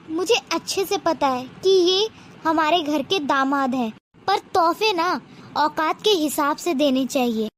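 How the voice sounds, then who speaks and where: A woman playing a snobbish middle-aged mother-in-law in an animated moral story, with a condescending, taunting manner. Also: very high-pitched, a little hiss or hum.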